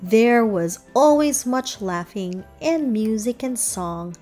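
A woman's voice: on the high side, expressive, slow pace, mellow. A woman narrating a children's read-aloud, reading rhythmic verse expressively. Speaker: storybook narrator. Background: music.

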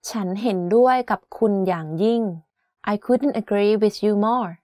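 A woman teaching phrases in a language lesson, with clear and emphatic explanations. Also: medium pitch, very fast, darkish tone, dry recording, clean studio sound. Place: studio with plain backdrop.

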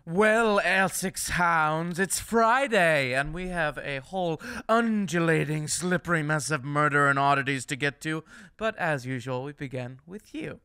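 A man's voice: fairly high pitch, measured pace, bright, forceful tone, smooth, dry recording, studio quality. A man playing a wry, theatrical horror radio co-host in an audio drama, with flowery, jokey banter. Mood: wry, showy.

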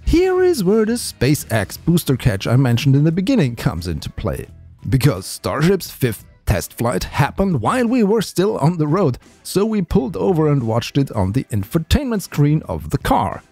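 An enthusiastic man tells a space story in a scripted vlog voice-over. His pitch rises and falls a great deal as he narrates. A little music plays softly beneath him. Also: huge pitch swings, moderate pace, breathy edge, no echo. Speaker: space video creator.

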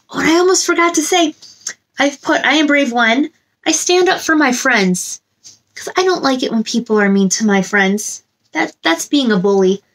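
A woman in her thirties speaking to young children as a story time host, with an expressive, simple delivery. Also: a little high. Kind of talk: children's read-aloud and chat to young viewers.

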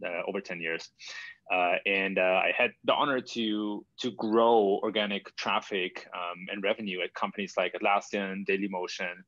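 A man's voice: fairly low pitch, a bit bright, no room sound. A man with a German accent, a guest speaker introducing himself on a webinar, with frequent "uh" fillers. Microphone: video call. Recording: dull, dry online call audio.

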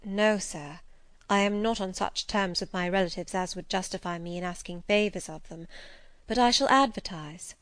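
A woman's voice: mid-range voice, normal speed, fairly bright tone, no echo, lightly acted. A woman voicing a polite but firm young governess in a dramatised classic novel, respectful, composed and formal.

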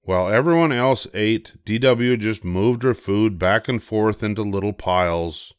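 An older man reads a children's book aloud. His voice is on the low side and expressive, captured with very little room sound. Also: a little slow, smooth, no echo, clean studio sound. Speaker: storybook reader.

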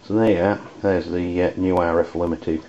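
An English man demonstrating hobby gear in a halting, hesitant way. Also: low-pitched, steady tone, warm tone, dull audio. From United Kingdom. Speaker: CB radio enthusiast reviewing gear.

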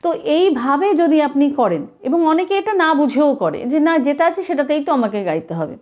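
A woman's voice: high voice, lively intonation, moderate pace, a little mellow. A woman, explaining as a singing teacher in an instructive, emphatic way. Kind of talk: explanatory video lesson.

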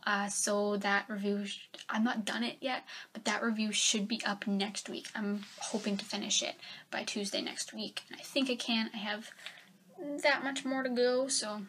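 A young woman giving a casual book review from her bedroom, chatty and rambling with lots of fillers, her voice a little raspy as she is getting sick. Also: mid-range voice, fairly bright tone, slight background noise. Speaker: book vlogger. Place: bedroom, indoors. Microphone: webcam at desk.

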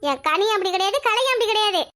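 An actress playing a sharp-tongued, protective middle-aged mother who scolds and flares up fast. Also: extremely high pitch, expressive. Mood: indignant.

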